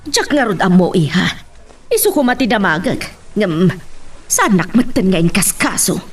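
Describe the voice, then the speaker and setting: A woman with a Filipino accent playing a worried wife and mother in an audio drama, anxious but gentle with her daughter. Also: mid-range voice, very animated, moderate pace, far from the mic. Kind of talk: Filipino radio-style drama acting.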